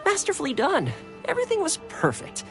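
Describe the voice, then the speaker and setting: An actor playing a loyal, good-humoured retainer to a lord in a video game, courteous and gently teasing. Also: male voice, very high-pitched, huge pitch swings, brisk, soft background music, exaggerated voice. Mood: wry. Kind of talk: video game character acting.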